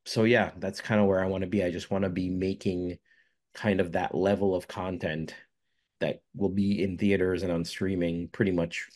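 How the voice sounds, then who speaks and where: Casting: very low-voiced young man from jamaica, rambling interview guest. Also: level tone, moderate pace, darkish tone. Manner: rambling, many fillers and restarts. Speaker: filmmaker guest. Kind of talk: casual interview answers.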